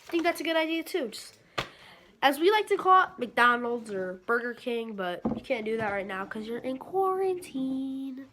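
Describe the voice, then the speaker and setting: A woman's voice: high-pitched, normal speed, slight background noise. A woman reading a children's story aloud expressively, with casual asides. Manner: expressive, acts out sound effects. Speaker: picture book reader.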